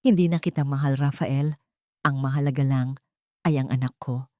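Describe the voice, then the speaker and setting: Betrayed grieving mother, acted by a woman narrator, low female voice, firm, solemn, audio drama. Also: fairly even intonation, moderate pace, warm tone, no echo, studio quality. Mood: hard, resolute. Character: betrayed, grieving mother turning hard and resolute.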